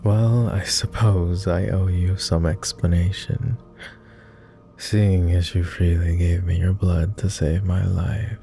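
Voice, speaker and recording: A man playing a weary, courtly vampire lord in an ASMR roleplay, murmuring formally and hesitantly with many pauses, close to the microphone. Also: deep voice, steady tone, gravelly, warm tone, soft background music, full character voice. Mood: solemn.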